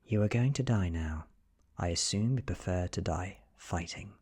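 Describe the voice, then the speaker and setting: A British man voicing a menacing ancient female vampire in an audiobook, speaking slowly and softly in calm, half-whispered threats. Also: sits low, breathy edge, dry recording, studio quality. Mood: calm menace.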